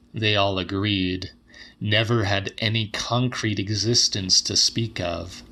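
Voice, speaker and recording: Man, dramatic audiobook narration, lowish pitch, slow pace, grave, measured literary horror delivery. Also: sharp tone.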